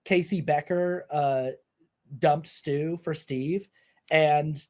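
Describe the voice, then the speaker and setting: Higher-voiced, mellow man talking film. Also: steady tone, very slow. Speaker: film podcast host. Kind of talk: casual film discussion.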